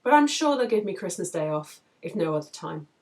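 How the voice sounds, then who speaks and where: A woman's voice: fairly low pitch, sing-song, brisk. A woman reading aloud as a cheerful, tipsy, sociable woman friend in a novel, chatty and exclamatory. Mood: cheery, welcoming.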